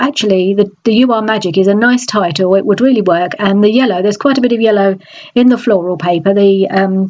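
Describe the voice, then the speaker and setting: A woman with a stuffy, nasal voice from a cold, chatting in a relaxed voice-over about a craft project, with many small restarts. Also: medium pitch, muffled recording. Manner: chatty, hesitant, frequent restarts. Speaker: scrapbooking crafter. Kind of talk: process video voice-over.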